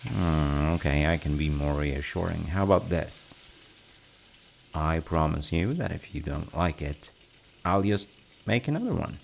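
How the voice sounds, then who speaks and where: A man plays a cozy, playful dad in an audio roleplay. His voice is extremely low with a gravelly creak, and he muses softly and reassuringly, as if to someone right there. Kitchen noise of sizzling and stirring runs behind him. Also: expressive, unhurried, full character voice. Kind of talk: intimate audio roleplay, addressing the listener. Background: frying sizzle and kitchen sounds.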